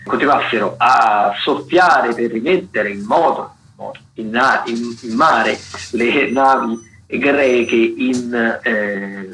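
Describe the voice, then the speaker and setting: A man's voice: mid-range voice, fairly bright tone, low-quality recording. A man hosting a radio show, running informally through event listings in a hesitant, digressive way. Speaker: radio host presenting theatre listings.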